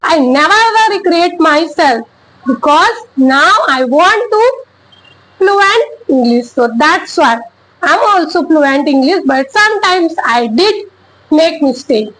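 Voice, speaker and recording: A woman with an Indian accent whose first language is Chhattisgarhi, talking casually to camera about learning English. She speaks haltingly, searching for words, in simple encouraging sentences. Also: high-pitched, lively intonation, fairly bright tone, low-quality recording.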